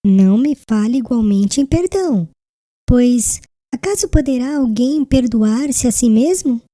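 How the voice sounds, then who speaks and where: High-voiced, gentle young bride played by a woman. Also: expressive, unhurried, no echo, clean studio sound. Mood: tender devotion. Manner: tender, humble, solemn literary delivery. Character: gentle, devout, frail young bride in ancient times. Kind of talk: dramatised audiobook acting.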